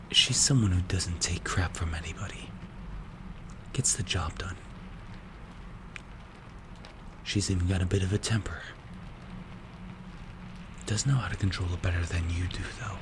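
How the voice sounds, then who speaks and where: A man playing a strict, calculating mafia boss in an ASMR roleplay, speaking in a hushed, breathy, measured and menacing way. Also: sits low, background noise. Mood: musing, approving. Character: strict, cold, calculating male mafia boss mentoring a protégé.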